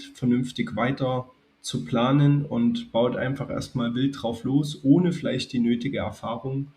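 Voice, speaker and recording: A man with a cold, addressing his viewers in a calm, chatty way as he narrates a hobby video. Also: medium pitch. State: has a cold. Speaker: model railway YouTuber. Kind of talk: casual spoken video narration.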